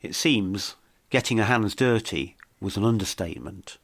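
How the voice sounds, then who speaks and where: A man reading scripted mystery narration in a measured, dramatic storytelling manner. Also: lowish voice, dry recording, studio quality. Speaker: documentary podcast narrator.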